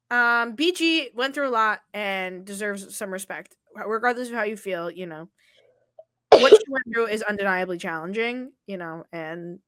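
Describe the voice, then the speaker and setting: A woman, co-hosting a casual sports podcast, slangy and quick to swear, a little wheezy. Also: fairly high pitch, pushed and bright, dry recording, clean studio sound. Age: 20s. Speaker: podcast co-host.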